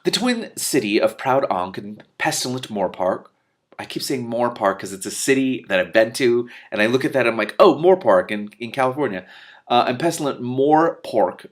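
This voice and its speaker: A man reading a novel aloud as host, acting out dialogue expressively and adding casual asides. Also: mid-range voice.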